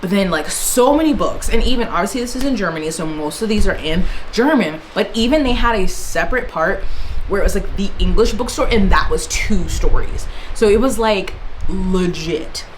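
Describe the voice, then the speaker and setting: A woman's voice: medium pitch, lively intonation, normal speed, rough recording. Give me a chatty woman talking through her purchases, with faint noise behind her. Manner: chatty, rambling, many fillers and restarts. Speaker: book vlogger. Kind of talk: casual book haul chat. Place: indoors, in Germany.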